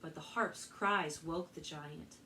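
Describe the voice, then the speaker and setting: An American woman working as a children's librarian, telling stories and presenting to kids in an expressive, carefully spoken way. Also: low voice, slow pace. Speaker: children's librarian and storytime host. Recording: distant mic with faint background noise.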